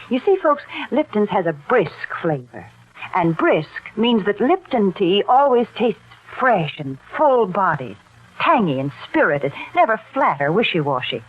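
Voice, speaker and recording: A woman playing a prim, chatty, emphatic homemaker pitching products in 1940s radio style. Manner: chatty, mock-scolding, emphatic. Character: prim, cheerful homemaker-type tea saleswoman. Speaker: sponsor spokeswoman. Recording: tinny old radio broadcast.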